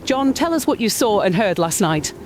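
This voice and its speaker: A woman's voice: mid-range voice, sing-song, moderate pace, lightly acted. A woman acting as a serious news reporter in an audio drama. She delivers a scripted field report with formal, precise and controlled phrasing. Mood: grave, controlled.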